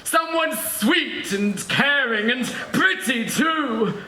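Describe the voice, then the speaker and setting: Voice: high voice, expressive, very slow, bright, forceful tone, heavily acted. A performer playing a gossipy, doting pantomime mother in broad British stage comedy, with exaggerated delivery and asides to the audience. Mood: doting, sighing. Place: theatre stage before an audience. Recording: echoey noisy theatre stage recording.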